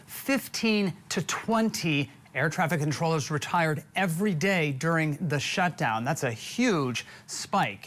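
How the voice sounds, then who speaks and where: A man's voice: on the high side, fairly bright tone. Male correspondent reporting live. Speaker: investigative news correspondent. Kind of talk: live television report to an anchor.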